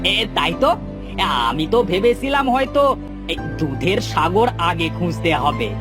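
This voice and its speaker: An excitable, dim young treasure hunter from a folk-tale cartoon , acted out by a man. He exclaims and bickers in a high, very animated voice. Music plays clearly behind him. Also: unhurried. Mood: puzzled, bickering. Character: excitable foolish treasure-obsessed young village brother.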